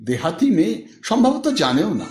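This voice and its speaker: A man reading a literary story as an audiobook narrator, in a measured and expressive way. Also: high-pitched. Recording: roomy, echoing voice recording.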